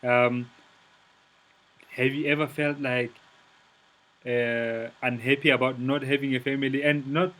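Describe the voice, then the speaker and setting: Host, man, 30s, from South Africa; searching, halting questioner. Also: medium pitch, level tone, a bit bright, poor audio. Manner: hesitant, searching for words. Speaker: podcast host. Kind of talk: casual interview.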